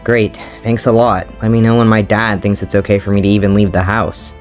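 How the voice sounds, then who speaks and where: A man reading the lines of a scrawny, sarcastic teenage Viking boy in his own low voice, in an amateur reading of a fan story. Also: little pitch movement, brisk, faint music behind, close to an everyday voice. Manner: sarcastic, self-deprecating.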